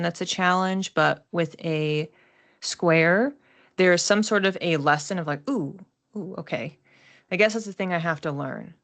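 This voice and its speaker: Low-pitched woman explaining astrology casually. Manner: casual, explanatory, acts out imagined thoughts. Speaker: astrologer host. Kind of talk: explanatory monthly astrology forecast, conversational.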